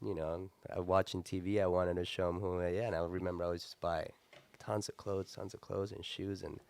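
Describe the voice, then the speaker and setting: Low smooth-voiced man. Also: level tone, a little fast, darkish tone. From Colombia. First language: Spanish. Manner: earnest, reflective storytelling. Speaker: podcast host. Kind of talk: casual interview chat.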